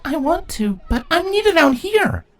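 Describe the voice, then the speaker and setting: A man's voice: very high-pitched, big pitch swings, unhurried, voice pushed up, heavily acted. A man voicing an anxious, earnest young female pony princess in a fantasy storybook reading. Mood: worried.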